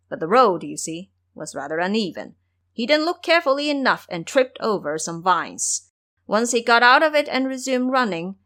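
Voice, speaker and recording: Casting: female narrator for a fantasy tale, mid-pitched and highly expressive, scripted storytelling delivery. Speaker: narrator of an audio drama series.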